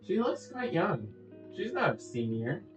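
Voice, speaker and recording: A woman's voice: lowish voice, big pitch swings, measured pace. A woman giving casual, blunt reaction commentary as a game streamer, often hesitating and drawing out words. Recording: echoey, distant, dull audio over faint music.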